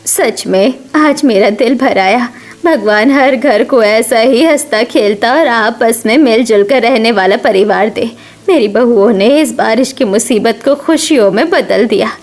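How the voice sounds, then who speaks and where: Hospitable farm-family housewife, fairly high female voice, warm cartoon delivery acted by a woman. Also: a little slow. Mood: grateful. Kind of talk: cartoon story voice acting.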